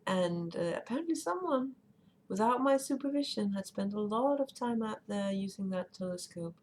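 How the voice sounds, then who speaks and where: A woman's voice: medium pitch, lively intonation, normal speed, darkish tone. A woman streamer with Danish as her first language, chatting casually and rambling while she plays and reads chat aloud. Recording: faint background noise.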